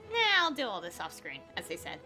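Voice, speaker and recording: A woman's voice: fairly low pitch, very animated, fast, sharp tone, faint music behind. A woman giving casual, offhand game commentary, chatting informally to her viewers. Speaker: gaming video creator.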